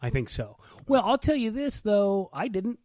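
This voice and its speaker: A man's voice: sits high, very animated, normal speed, a little mellow, dry recording, clean studio sound. An American man chatting casually as a sports talk co-host, informal and joshing in his manner. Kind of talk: casual chat, sports banter.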